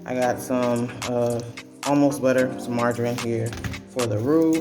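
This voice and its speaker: A woman's voice: very deep voice, fairly even intonation, measured pace, music in the background. A woman in her thirties hosting a casual cooking vlog from her home kitchen, talking in a chatty, rambling way with restarts. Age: 30s. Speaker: vlogger and mother. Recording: echoey kitchen.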